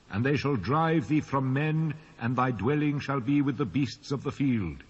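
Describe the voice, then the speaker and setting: A man's voice: medium pitch, husky. A British man playing a stern divine voice from heaven, solemnly pronouncing judgement in a dramatised scripture recording. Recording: dull, muffled old cassette sound.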